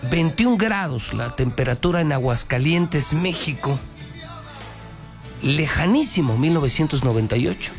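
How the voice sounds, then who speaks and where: A man's voice: fairly high pitch, lively intonation, moderate pace. A man with a Mexican accent presenting radio news with commentary, emphatic and colloquial, often repeating phrases for weight. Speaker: news anchor. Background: music.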